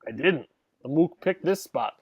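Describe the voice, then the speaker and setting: Guy doing a slangy lowlife henchman, evasive, pitch jumps around a lot. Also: lively intonation, a little slow, breathy edge, no room sound, clean studio sound. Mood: shifty, defensive. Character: shifty male street thug lackey, uneducated, evasive. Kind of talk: audio drama acting.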